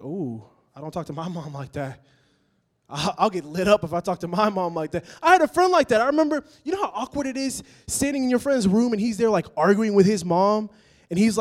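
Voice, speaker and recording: A young male preacher gives a relaxed, conversational sermon. His voice sits a touch high for a man and moves a lot. He drops low and soft on serious points and jumps up loud when he jokes. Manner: conversational and animated, jokes with the crowd.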